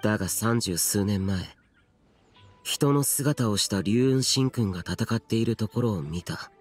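A man's voice: fairly low pitch, unhurried, faint music behind, natural voice. A man voicing an aloof, solemn immortal warrior guardian in a video game, speaking in grave, terse, archaic phrases. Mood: solemn recollection. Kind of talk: dramatic video game dub acting.

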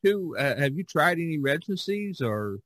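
A man hosting a casual podcast discussion, speaking haltingly and repeating words. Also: a little high, very animated, a bit bright, no room sound. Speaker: podcast host and artist.

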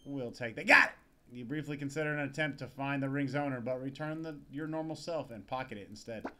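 A man's voice: mid-range voice, brisk, pushed and bright. A man doing a casual, sardonic, sweary playthrough, reading game text aloud. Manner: foul-mouthed, sardonic. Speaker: video game let's player. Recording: game music behind the voice. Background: video game music.

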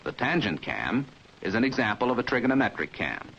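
A man's voice: medium pitch, sing-song, fairly bright tone, a little hiss or hum. Dull vintage recording of American male narrator. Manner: measured, precise, didactic. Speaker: training film narrator. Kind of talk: 1950s instructional film narration, scripted. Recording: dull 1950s film soundtrack.

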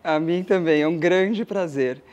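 A woman's voice: lowish voice, moderate pace, a little mellow, highs cut off. A woman with a Brazilian Portuguese accent, a journalist in a television studio, asking a long question with many fillers and repetitions. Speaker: journalist interviewer.

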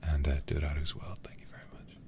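Low, creaky, whispery man streaming a game. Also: level tone, quick pace. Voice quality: breathy, often whispered. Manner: hushed, muttering to himself, sweary. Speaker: livestreamer. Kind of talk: ASMR horror game livestream, casual commentary.

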